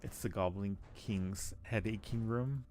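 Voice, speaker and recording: A man streaming a game, reading the narration aloud and commenting casually in a low-key way as he thinks aloud. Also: measured pace, a little mellow, a little hiss or hum. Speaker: live streamer playing a game.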